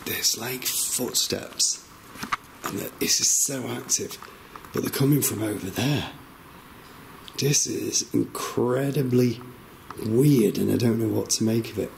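Slow, gravelly male ghost hunter. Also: medium pitch. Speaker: paranormal investigator. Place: inside a cave at night. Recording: clear background noise and ambience.

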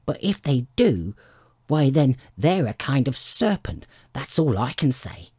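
A frantic mother pigeon from a storybook. She scolds and complains in a high, wildly swooping voice, full of indignation and scorn, with a breathy rasp at the edges. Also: very animated, measured pace, dark tone, dry recording, studio quality. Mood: scornful. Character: agitated, scolding female pigeon, frantic and contemptuous.

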